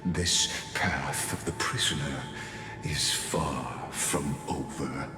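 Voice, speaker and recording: An actor playing a sardonic, theatrical game villain who narrates doom in a pompous, mocking, drawn-out way. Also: male voice, extremely low pitch. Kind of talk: dramatic scripted game monologue. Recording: echoey hall reverb, music behind. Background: music.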